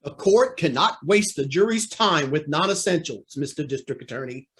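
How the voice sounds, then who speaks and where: Man as impatient judge, authoritative, formal, high-pitched, animated, radio drama. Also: moderate pace. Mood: stern, irritated. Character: stern, impatient trial judge. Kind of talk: old-time radio drama acting.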